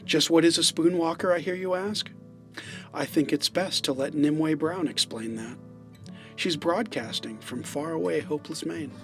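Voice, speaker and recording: A man's voice: on the high side, moderate pace, a bit bright, faint music behind. A man giving an explainer narration as a filmmaker and presenter.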